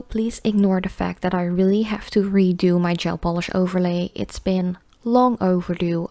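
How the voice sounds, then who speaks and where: A woman's voice: mid-range voice, darkish tone, no echo. A young woman with a Dutch accent, chatting casually with many fillers as she reviews nail polish. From Netherlands.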